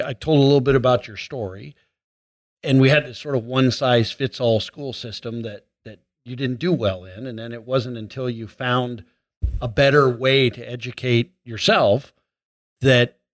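A man's voice: mid-range voice, no room sound, clean studio sound. A man hosting an interview, affirming and conversational as he asks questions. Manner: affirming, steady backchannels. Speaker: host.

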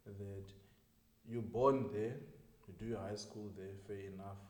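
A young South African man with a Tsonga first language, a pupil advocate explaining his path in an earnest, casual interview chat with loud laughs. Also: fairly low pitch, fairly even intonation, measured pace. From South Africa (Mpumalanga). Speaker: podcast guest, pupil advocate. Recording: roomy echoey room.

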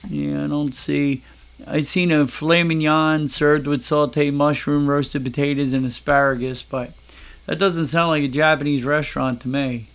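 A man's voice: a little high, level tone, moderate pace, vocal fry, fairly bright tone. A man hosting a casual chat, joking and stumbling over food names as he reads a menu aloud. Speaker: podcast host.

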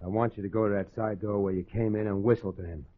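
An actor playing a terse, commanding, scheming crook in a 1940s radio suspense drama. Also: male voice, on the low side, fairly even intonation, fast talker, natural voice. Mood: cold, commanding. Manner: terse, commanding, tough-talking. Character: hard-boiled cold scheming male crook and husband. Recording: dull, noisy old radio recording.

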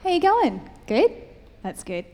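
A woman preaching a friendly, conversational sermon, her voice a little croaky. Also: high voice, huge pitch swings, measured pace, warm tone. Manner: friendly, conversational teaching with light humor. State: slightly croaky voice. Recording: long hall reverb.

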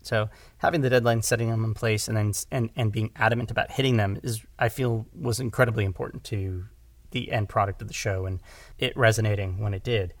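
A man's voice: lowish voice, fairly even intonation, a little fast, dry recording. A man who directs audio drama, talking casually in a podcast conversation and often correcting himself as he goes.

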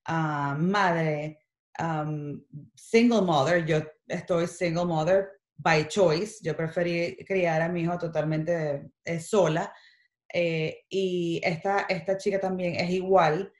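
A woman's voice: on the low side, steady tone, slow, a little mellow, roomy sound. A middle-aged woman from Venezuela with a Venezuelan Spanish accent, chatting animatedly as a guest and dropping in English words. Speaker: guest, makeup artist. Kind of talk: casual interview chat.

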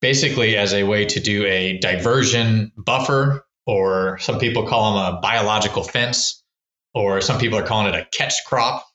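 Low, bright-voiced man in a roomy echo. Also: highs cut off. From Texas. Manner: rambling, many restarts and fillers. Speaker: wildlife researcher, podcast guest. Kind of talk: casual explanatory interview talk.

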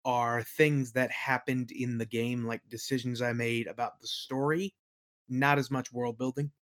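Male game master as interview guest, medium pitch, conversational, dry and modest joking. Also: little pitch movement, unhurried, a bit bright.

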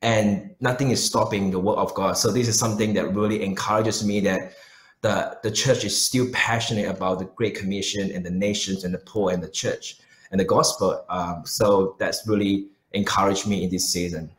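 A man's voice: lowish voice, steady tone, normal speed. A man with a Malaysian accent, speaking earnestly as a pastor giving personal answers in an interview. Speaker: pastor and missionary guest. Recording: roomy, echoing sound.

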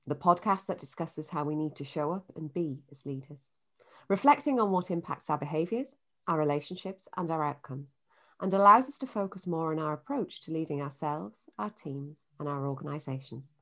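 A woman's voice: low-pitched, animated. Woman hosting a leadership podcast. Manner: polished when reading, friendly and halting when improvising. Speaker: podcast host. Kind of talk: scripted intro, then casual interview.

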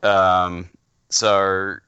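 A man chatting casually on a podcast in a halting, repetitive, sweary way, on a slightly dull lo-fi microphone. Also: low-pitched, little pitch movement, sharp tone, clean tone, no echo. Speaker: podcast panelist. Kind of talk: casual pop-culture chat.

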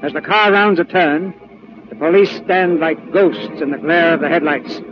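A man's voice: a little high, normal speed. A man hosting and narrating a 1940s radio theater show in a polished, formal manner. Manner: polished, formal presenter delivery. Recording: dull old radio recording with music.

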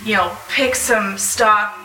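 A woman's voice: mid-range voice, takes it slowly, sharp tone, soft background music. Earnest woman, distant in an echoey room. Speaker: panelist. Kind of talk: casual panel discussion. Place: live convention panel.

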